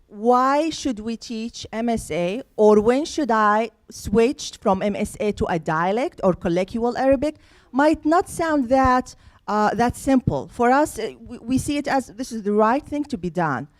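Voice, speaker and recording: A woman hosting a panel interview, asking questions in a measured, explanatory way. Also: a little high, sing-song, no room sound. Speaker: host interviewing panel guests.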